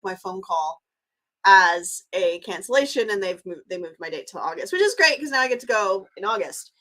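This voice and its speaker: A woman from Canada hosts her own live vlog in a loose, conversational way. She rambles, restarts sentences and fills pauses as she talks to viewers, in a voice of middling pitch. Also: expressive, bright, forceful tone, low-quality recording. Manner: chatty, rambling with many fillers and restarts. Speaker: vlogger. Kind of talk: casual live-stream chat.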